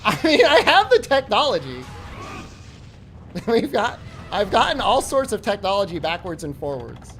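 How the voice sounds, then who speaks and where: Animated man in his forties streaming. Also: high-pitched, measured pace, a little hiss or hum. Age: 40s. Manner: profane, joking rants at chat. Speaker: game streamer. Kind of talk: live-stream chat while gaming.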